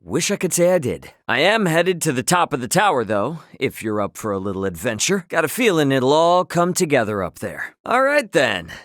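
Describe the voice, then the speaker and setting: A man's voice: on the high side, very animated, moderate pace, few pauses, a bit bright, dry recording, clean studio sound. A man playing an easygoing, cocky young adventurer hero in a video game, speaking casually and wryly. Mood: thoughtful, wry. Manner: casual, colloquial, wry. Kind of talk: acted video game dialogue.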